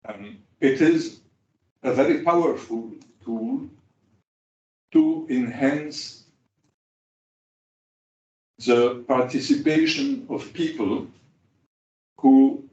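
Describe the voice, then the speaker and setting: A man speaking as a cooperative practitioner on a panel, pausing often with fillers. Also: mid-range voice, warm tone. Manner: halting, many pauses and fillers. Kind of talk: panel discussion remarks. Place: large conference hall. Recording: echoey hall, distant dull mic.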